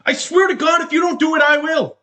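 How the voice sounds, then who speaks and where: A man's voice: very high voice, steady tone, a little fast, pushed and bright. A young man acting in a stage play as a controlling, insecure husband who belittles his wife. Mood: angry, incredulous. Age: young adult. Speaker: student actor.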